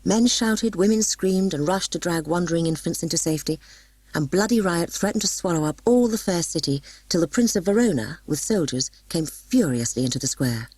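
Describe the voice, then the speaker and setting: Low, husky woman narrating a story. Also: a little fast, no room sound, poor audio. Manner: dramatic, expressive storytelling. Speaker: audiobook narrator.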